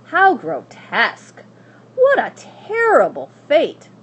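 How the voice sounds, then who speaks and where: A woman narrating a children's story aloud in a dramatic, expressive and deliberate way. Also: sits high. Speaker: school staff member reading aloud. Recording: faint background noise.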